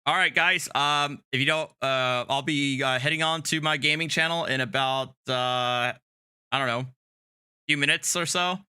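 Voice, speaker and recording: A man hosting a livestream, chatting casually in a hesitant, halting way. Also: mid-range voice, fairly even intonation, sharp tone, clear, resonant tone, dry recording, clean studio sound. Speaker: livestream host.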